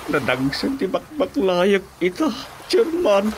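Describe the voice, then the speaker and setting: High, slow, plaintive old Ilocano widower. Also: male voice, huge pitch swings, slight background noise, exaggerated voice. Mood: weary, deferential. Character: pleading, grief-stricken old widowed villager. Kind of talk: radio drama comedy acting. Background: music.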